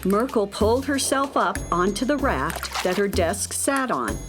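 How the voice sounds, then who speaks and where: A woman's voice: mid-range voice, expressive, a little slow, over music. A woman narrating a scripted children's story as the narrator of a radio drama.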